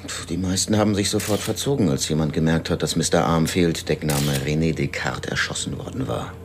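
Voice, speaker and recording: An actor playing a wry, slightly crude police detective reporting to a superior in a radio crime drama, offhand and hesitant. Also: male voice, extremely low pitch, a little fast. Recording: clear background noise and scene ambience.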